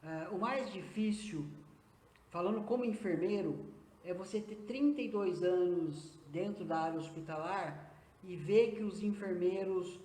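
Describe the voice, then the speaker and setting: A man in his fifties with a Brazilian accent, a nurse and acupuncturist answering interview questions earnestly, often pausing on hesitant fillers. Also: high voice, a little mellow. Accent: Brazilian Portuguese. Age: 50s. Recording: roomy echo.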